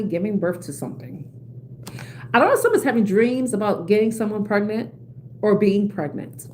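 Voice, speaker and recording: A woman talks through a tarot spread in a loose, conversational way. She is quick to laugh at herself and stops to correct her words. A faint hiss and the click of cards sit under her mid-pitched voice. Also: darkish tone, poor audio. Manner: chatty, laughs at own jokes, self-correcting. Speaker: tarot reader. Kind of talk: casual tarot reading.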